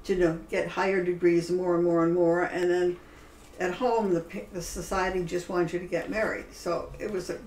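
A woman's voice: on the low side, level tone, normal speed, a little mellow. An older woman, seated at home, recalling her past in a reflective way, often trailing off mid-sentence, recorded by a camera across the room. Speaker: interviewee. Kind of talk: oral history conversation. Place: living room, indoors. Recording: roomy home recording, faint background hum.

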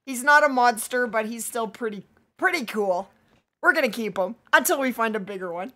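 A woman's voice: on the high side, animated, moderate pace, bright, forceful tone. A woman streaming a hunting game live, chatting and greeting her viewers by name while she is losing her voice. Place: home streaming setup.

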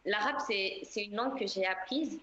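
A woman singer answering interview questions in a relaxed, chatty way, with many fillers. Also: mid-range voice, normal speed, echoey room, lo-fi sound, a little hiss or hum. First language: French.

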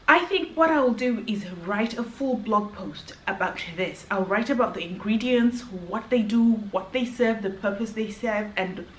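A woman's voice: mid-range voice, measured pace, few pauses. A woman in her thirties, not a native English speaker, chatting earnestly to camera about skincare and restarting often. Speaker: beauty vlogger. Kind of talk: casual skincare review to camera. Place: hotel room, indoors. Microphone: camera at arm's length. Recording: echoey room, faint background hum.

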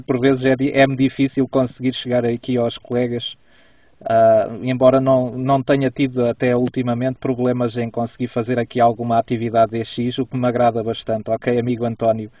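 A man with a European Portuguese accent speaks courteously as an amateur radio operator, often checking back. His intonation stays fairly flat. He is recorded inside a car, a bit away from the microphone, with a dull sound. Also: medium pitch. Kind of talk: casual ham radio chat. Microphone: camera a little way from him. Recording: dull, roomy, distant camera microphone.

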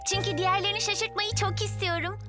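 A performer voicing an excited, helpful little girl in a children's cartoon, speaking eagerly. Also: very high-pitched, quick pace, over music.

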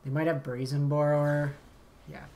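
A man, slightly raspy from a long day of talking, calmly commentating his card game as he plays, thinking aloud and muttering asides. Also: fairly high pitch, normal speed. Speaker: game streamer. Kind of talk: casual gameplay commentary. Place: home streaming setup.

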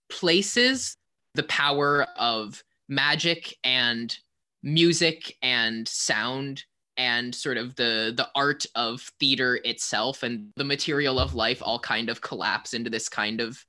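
A man's voice: mid-range voice, bright, forceful tone. A man in a casual panel discussion, talking in a rambling, hedging, thoughtful way as a sound designer. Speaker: co-composer and sound designer of a theater show.

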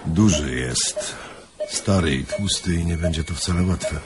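An actor playing a callous, musing surgeon in a radio drama, deadpan and sardonic. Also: male voice, low-pitched, a little slow, music in the background.

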